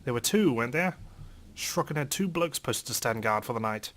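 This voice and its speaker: A man reading a visual novel aloud as theatrical first-person narration, in character as an indignant gentleman. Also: medium pitch, lively intonation, moderate pace. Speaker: let's player reading a game aloud.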